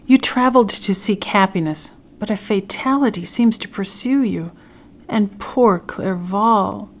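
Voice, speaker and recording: A woman's voice: medium pitch, measured pace. Lady doing a sad loving older dad from a classic novel, formal, lo-fi tinny audio. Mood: mournful. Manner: mournful, formal. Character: mournful, loving older father.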